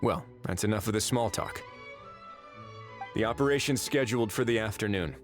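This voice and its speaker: A man voicing an affable, easygoing male official in a video game, relaxed and lightly wry. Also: lowish voice, moderate pace, close to an everyday voice. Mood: brisk, friendly. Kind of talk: video game dialogue acting. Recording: game music behind the voice. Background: background music.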